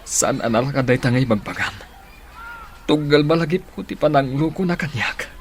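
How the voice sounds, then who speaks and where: A man's voice: mid-range voice, animated, normal speed, slight background noise, natural voice. A man with an Ilocano accent playing a heartbroken, tearful jilted lover in a radio drama. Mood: sorrowful.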